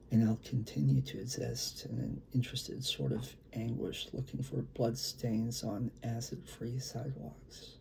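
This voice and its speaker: Gravelly, mellow-voiced man reading poetry. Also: mid-range voice, low-quality recording. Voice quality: gravelly, creaky. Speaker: poet reading own work. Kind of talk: poetry reading, spoken word. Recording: faint background room noise.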